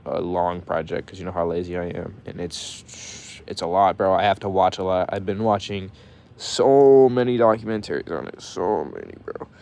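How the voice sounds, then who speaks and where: A man's voice: fairly low pitch, darkish tone, a little hiss or hum. A young man ranting casually to his viewers, profane and heated, saying bro constantly and stuttering when he gets mad. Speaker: vlogger.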